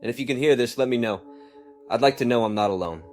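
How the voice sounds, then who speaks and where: A lone survivor played by a man calls out over a ham radio in an audio drama. He is weary but steadfast, speaking deliberately in a medium-pitched voice through a radio filter, with music underneath. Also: darkish tone. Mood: weary, hopeful.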